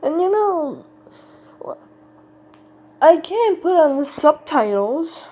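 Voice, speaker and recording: A woman giving casual, unscripted reaction commentary in a halting, exasperated way, swearing freely. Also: high voice, very animated, dark tone, soft background music.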